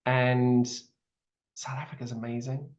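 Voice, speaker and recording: A man's voice: medium pitch, flat delivery, unhurried, slight background noise. A man from Zimbabwe hosts a chatty interview and reminisces freely with small laughs. His voice comes through slightly dull, lo-fi audio. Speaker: host. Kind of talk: video call interview.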